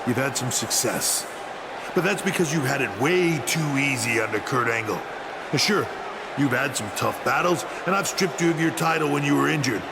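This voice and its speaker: An actor playing a smug, menacing wrestling boss in a video game, taunting with emphatic pauses in a gravelly voice amid loud crowd noise. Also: male voice, fairly high pitch.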